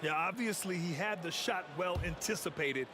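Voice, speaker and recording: A man giving analysis as a basketball color commentator, over loud music. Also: high-pitched, moderate pace, noisy background, lightly acted. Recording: loud music behind, bouncing ball.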